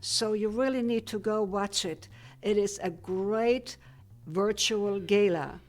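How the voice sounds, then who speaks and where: An older woman from Germany, a retired physicist, speaks as a guest in a TV studio. She talks very slowly, measured and plain-spoken. Faint music sits low in the background. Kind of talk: studio interview.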